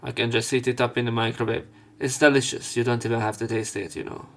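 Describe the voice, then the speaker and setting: A man's voice: medium pitch, level tone, fast, creaky, soft background music. A man reading a visual novel's narration and dialogue aloud for a let's play.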